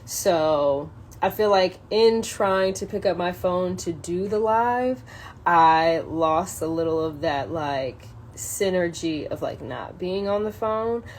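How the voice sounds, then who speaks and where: A woman on a casual solo livestream, halting and thinking aloud as she chats and reads from a book. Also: lowish voice, a little mellow. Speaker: social media live streamer. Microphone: phone propped up in a window. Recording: clear background noise, ambient sound.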